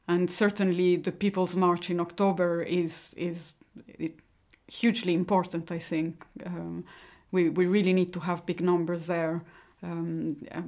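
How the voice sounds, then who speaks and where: A middle-aged woman who grew up in Italy, giving a personal account in a hesitant, halting way. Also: lowish voice, a little mellow. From born in Mexico, grew up in Italy. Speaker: interviewee giving personal testimony.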